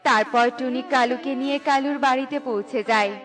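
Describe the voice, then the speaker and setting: A woman's voice: sits high, steady tone, fairly quick, fairly bright tone, soft background music. A woman narrator reading a scripted children's cartoon story.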